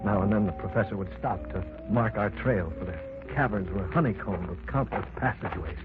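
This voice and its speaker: A man's voice: fairly low pitch, fairly quick, natural voice. An actor playing Martin, an eager male companion on the expedition, who also narrates the story in plain storytelling tones, in an old radio drama. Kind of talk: 1940s radio acting. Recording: tinny old radio broadcast with music.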